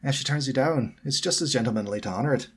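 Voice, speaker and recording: A man's voice: mid-range voice, very fast, breathy edge, voice pushed up, lightly acted. A man voicing a gallant, easygoing teenage schoolboy with an Irish accent, in a storybook reading. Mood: firm gallantry.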